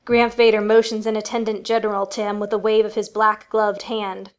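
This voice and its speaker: A woman's voice: medium pitch, flat delivery, fairly quick, clean tone. A woman reading scripted, dramatic narration for a family radio play.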